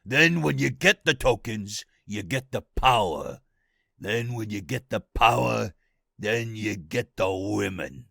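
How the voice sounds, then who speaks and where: Gravelly mobster fish gangster, New York accent. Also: male voice, lowish voice, lively intonation, slow pace, bright, forceful tone, no room sound, studio quality, heavily acted. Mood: cocky, menacing. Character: wisecracking mobster fish gangster, cocky and menacing. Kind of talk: video game character voice lines.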